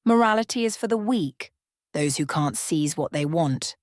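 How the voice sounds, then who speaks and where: A cold, arrogant crime boss barks and scoffs from a storybook, as a woman reader puts him on. The voice sits low and sounds close and dry. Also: expressive, normal speed, no room sound. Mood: scornful. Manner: scoffing, barking, irritated. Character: arrogant middle-aged male crime boss.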